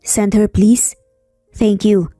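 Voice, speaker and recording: A woman's voice: mid-range voice, little pitch movement, very slow, dark tone, dry recording, studio quality. A Filipino woman voicing a playful, flirtatious male engineer boss in a narrated story, speaking in a teasing, informal way. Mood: amused. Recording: background music under voice.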